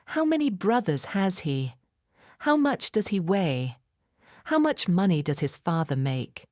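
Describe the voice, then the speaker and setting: Low-voiced woman reading with lively intonation. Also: no room sound, clean studio sound. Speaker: audiobook narrator. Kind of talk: expressive bedtime storybook narration.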